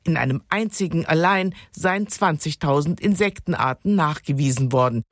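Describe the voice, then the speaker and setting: A woman's voice: deep voice, animated, moderate pace, no echo, clean studio sound. A woman reading a scripted radio report in a factual news style.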